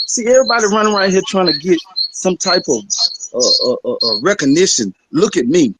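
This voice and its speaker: A man's voice: sits high, animated, a little fast, a bit bright, a little hiss or hum, rough recording. A man giving an emphatic, streetwise rebuttal in an online audio room, dropping "bro" and "man" often. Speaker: caller in an audio chat room. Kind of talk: passionate rebuttal.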